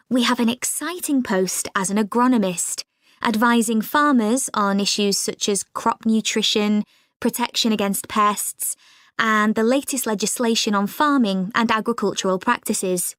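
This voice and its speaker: A woman playing a professional recruitment agent, giving a clear, measured, scripted informational talk. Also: on the high side, dry recording, clean studio sound. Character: professional female recruitment agent presenting job vacancies.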